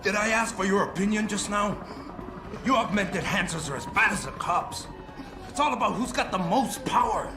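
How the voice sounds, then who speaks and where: An actor playing a volatile, cornered hostage taker in a video game, agitated and full of cocky street slang. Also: male voice, high voice, animated, moderate pace. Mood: cocky, threatening. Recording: echoey game audio under loud music.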